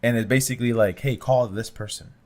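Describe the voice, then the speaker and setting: A man's voice: mid-range voice, brisk. A man of about thirty, co-hosting a podcast, teasing and chatting casually. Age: 30s. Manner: teasing, animated. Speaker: podcast co-host. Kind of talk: casual chat.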